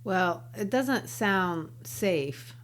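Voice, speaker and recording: A woman who is a teacher, co-hosting a casual book podcast, chatty and quick to ask questions. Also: medium pitch, animated. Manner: chatty, asks questions, laughs easily.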